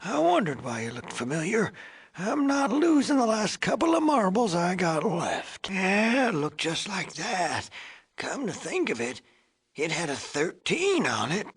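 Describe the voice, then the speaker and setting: Super raspy wheezy old undead ghoul for a game, grumpy and folksy, jokey insults, voice jumps all over the place. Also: male voice, sits high, a bit bright, exaggerated voice. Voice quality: raspy, gravelly, wheezy with a cough. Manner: folksy, crotchety drawl with colorful insults. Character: cantankerous, wisecracking old male ghoul, an irradiated undead survivor. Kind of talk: video game character acting.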